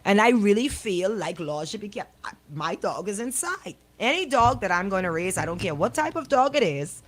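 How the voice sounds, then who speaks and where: A woman's voice: medium pitch, lively intonation, moderate pace. A middle-aged woman with a Caribbean accent, hosting casual radio chat in a colloquial, emphatic storytelling way. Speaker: radio show host.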